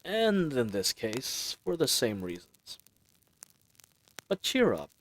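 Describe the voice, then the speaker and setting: Man playing a charming, lively bandit leader. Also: mid-range voice, slow pace, exaggerated voice. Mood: cheerful, reassuring. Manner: casual, chatty, playfully teasing. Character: charismatic, friendly male bandit leader, teasing and roguish. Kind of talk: fantasy audio roleplay acting, speaking intimately to listener.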